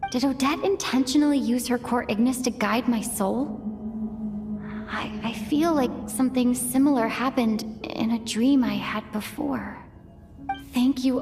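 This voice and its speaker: High-voiced, determined young female traveler. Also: a little mellow. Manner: earnest, urgent. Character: determined young female traveler searching for her kidnapped companion. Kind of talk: video game cutscene acting.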